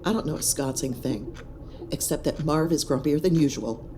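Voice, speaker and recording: A woman's voice: deep voice, moderate pace, warm tone, slight background noise, lightly acted. Woman doing a brash, gossipy starship crewmate.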